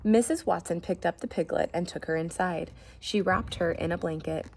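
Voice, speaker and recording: A woman's voice: lowish voice, normal speed, slight background noise. A woman reading a picture book aloud as a teacher would, expressively, with casual asides to the listeners.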